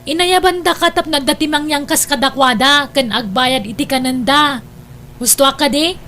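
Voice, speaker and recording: Actress as exasperated older sister, high voice, Ilocano accent, nagging, audio drama, faint music bed. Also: female voice, normal speed, sharp tone. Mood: scolding. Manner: nagging, reproachful.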